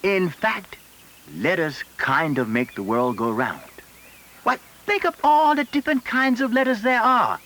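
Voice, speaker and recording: A man's voice: high-pitched, lively intonation, a little slow, bright, forceful tone, heavily acted. An older American man playing a kindly, encouraging retired schoolteacher in a scripted educational film, explaining things patiently. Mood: teacherly, enthusiastic. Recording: faintly noisy 1980s 16mm film soundtrack.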